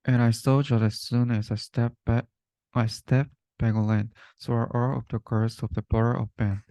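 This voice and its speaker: Mellow young man reading rhyming verse. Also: medium pitch, level tone, moderate pace, dry recording. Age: young adult. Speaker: student reader. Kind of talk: reading a rhyming children's storybook aloud.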